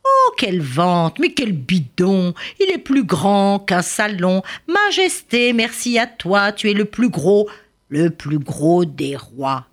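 A woman's voice: mid-range voice, sing-song, slow pace. A woman voicing a small cheeky chattering bird that mocks a king in a sing-song way. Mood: gleeful mocking.

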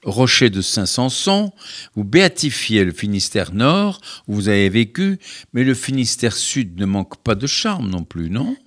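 A man hosts a radio book interview, attentive and probing. He keeps up soft murmurs of agreement and presses his guest with follow-up questions. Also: lowish voice, sing-song, measured pace. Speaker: radio host.

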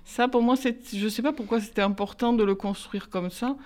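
A woman's voice: on the high side, steady tone, fairly quick, a little mellow. Reflective woman novelist with lilt. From France, of Italian descent. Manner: thoughtful, reflective, many restarts. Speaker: novelist guest. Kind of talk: conversational interview.

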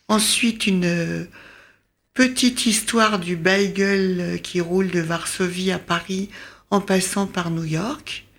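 A woman with a slightly low-pitched voice speaks as a guest in a radio interview. She presents an event programme in a conversational manner.

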